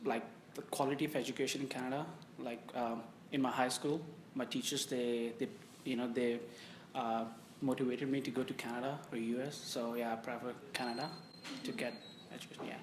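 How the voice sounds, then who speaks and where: A young man from Pakistan, an international student whose first language is Urdu, answering interview questions haltingly and restarting phrases. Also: mid-range voice, level tone. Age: young adult. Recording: echoey room with background noise.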